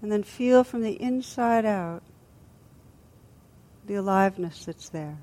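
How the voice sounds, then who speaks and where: A woman with a mid-pitched voice leads a guided meditation as a teacher. She speaks gently and soothingly. Her phrases are unhurried, with long, restful pauses between them. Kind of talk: guided body scan meditation.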